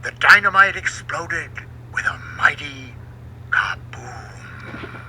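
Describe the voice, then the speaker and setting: A man reading a children's storybook aloud in an expressive, dramatic way. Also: a little high, slow pace. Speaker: book narrator. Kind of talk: scripted storybook narration for children. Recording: home recording with faint background noise.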